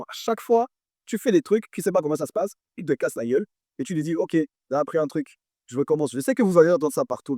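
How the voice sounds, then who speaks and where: A young man with a French accent talks in a relaxed, mellow tone, his voice set a little higher than most men's. He leans on repeated phrases to drive his points home. The sound is close and dry. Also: no room sound. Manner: animated, repeats phrases for emphasis. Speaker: guest artist and producer. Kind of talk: casual interview chat.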